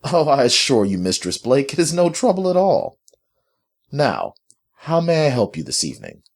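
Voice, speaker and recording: A man's voice: mid-range voice, big pitch swings, measured pace, voice pushed up. A man voicing a cold, pompous, irritable master who speaks formally and with authority in a story. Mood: falsely polite.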